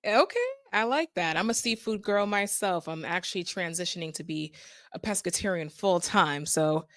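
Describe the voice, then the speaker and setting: A woman's voice: fairly low pitch, normal speed, a bit bright, no echo, clean studio sound. A woman with a Northeastern US accent interviewing a guest, bubbly and laughing often. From Connecticut. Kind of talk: upbeat casual interview.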